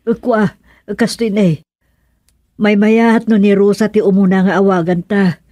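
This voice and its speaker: A fretful older auntie, played by a woman in an Ilocano radio drama. She has an Ilocano accent and a medium-pitched voice, full of worried sighs and plaintive exclamations, recorded dry. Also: little pitch movement, measured pace, mellow, no echo, close to an everyday voice. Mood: anxious. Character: fretful older aunt anxious over her ailing husband.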